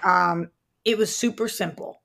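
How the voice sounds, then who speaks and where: A woman's voice: fairly low pitch, measured pace, gravelly, a bit bright. A woman co-hosting a podcast in casual film discussion, speaking in an informal, direct and opinionated way. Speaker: podcast co-host.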